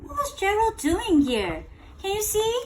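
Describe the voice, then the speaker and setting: A woman with a high voice reads a bedtime story aloud, swinging widely in pitch. She takes it slowly, repeats phrases and keeps checking in with the child through simple questions. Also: huge pitch swings. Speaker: storyteller reading a picture book to a child. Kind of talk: interactive bedtime read-aloud.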